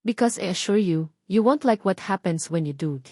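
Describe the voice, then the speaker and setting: A cold, menacing female boss, as a woman narrator plays her, delivers her warnings slowly and deliberately. Each word is clear and controlled, in the manner of a romance audio drama villain. The sound is close and dry. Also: mid-range voice, lively intonation, dark tone, no echo. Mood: cold, threatening.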